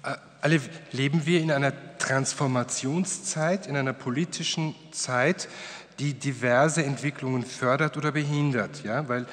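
A man's voice: medium pitch, steady tone, moderate pace, a bit bright. A middle-aged man moderating a panel, asking long, probing questions at a table microphone. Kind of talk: panel discussion. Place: wood-panelled hall, indoors. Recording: reverberant hall.

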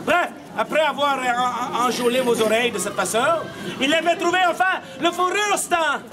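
Street comedian, male, Québécois accent, high theatrical projection, outdoors with noisy crowd. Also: normal speed. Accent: Québécois French. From Quebec, Canada. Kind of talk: comic storytelling to a street audience.

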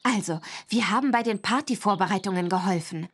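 A woman's voice: medium pitch, brisk, natural voice. A woman voicing a friendly, chatty young witch girl in a German children's computer game.

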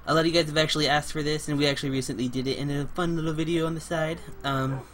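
A young man hosting a casual game let's play, playful and chatty, getting over a cold and clearing his throat now and then. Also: fairly high pitch, steady tone, very fast, fairly bright tone, a little hiss or hum. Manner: playful, chatty, talks to animals and co-player in a cutesy way. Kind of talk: casual gameplay commentary.

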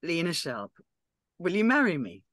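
A woman's voice: lowish voice, sing-song, moderate pace, a bit bright, no room sound, studio quality. A woman on a video call reading the part of an easygoing, blunt young woman in her twenties. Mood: earnest.

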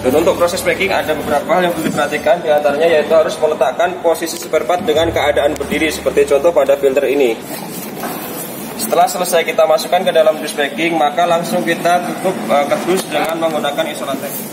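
A man's voice: on the high side, steady tone, fast, reverberant, background noise. A man methodically walking through warehouse procedures step by step, with frequent hesitations. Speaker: warehouse staff demonstrating procedures. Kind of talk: instructional workplace walkthrough.

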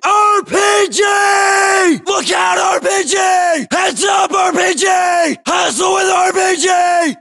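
High-pitched, gritty shouting Marine. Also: male voice, takes it slowly, creaky, few pauses, some chatter behind, exaggerated voice. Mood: alert, urgent. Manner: clipped, urgent, forceful barking. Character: US Marine soldier shouting urgent combat callouts. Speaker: video game voice actor. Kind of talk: military shooter game battle chatter.

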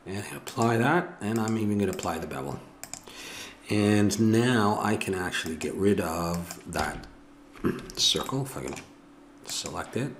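Deep-voiced Canadian man musing over his work. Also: lively intonation, measured pace, a little hiss or hum. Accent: Ottawa Valley Canadian. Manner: musing, self-correcting, talks to himself. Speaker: 3D modeling tutor. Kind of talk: thinking aloud while working. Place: home room at a desk.

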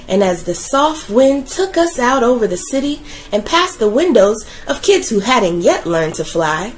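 A woman reading a children's picture book aloud in a gentle, expressive, soothing way. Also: high-pitched, a little hiss or hum, low-quality recording. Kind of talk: read-aloud storytelling.

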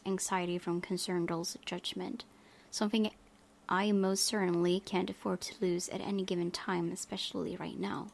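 Creaky-voiced woman reading a story aloud. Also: medium pitch, a little mellow. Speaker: let's play host reading aloud. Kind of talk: reading visual novel narration aloud with casual asides.

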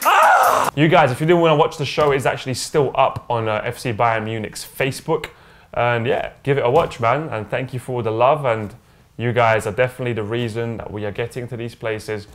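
Young male football show co-host, loud hype-man energy, casual studio chat. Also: mid-range voice, a little fast, faint music behind. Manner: hypes segments with loud chanted shouts. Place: studio set with sofas.

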